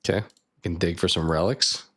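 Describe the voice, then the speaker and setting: A man streaming a card game live, thinking aloud and chatting with viewers, his voice a bit raspy. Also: low voice, steady tone, normal speed, fairly bright tone. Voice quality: slightly raspy. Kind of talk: casual live gameplay chat.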